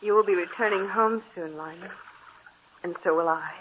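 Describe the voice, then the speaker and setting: A woman's voice: lowish voice, moderate pace, natural voice. An actress in a 1940s radio drama playing a carefree young woman who loves life. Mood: gentle. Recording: dull noisy old radio recording.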